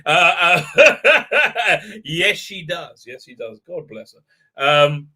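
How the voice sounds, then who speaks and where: Livestream host, man, chatty and rambling, very bright tone. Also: fairly high pitch, very animated, very slow. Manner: rambling, many fillers and restarts. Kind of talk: casual livestream chat.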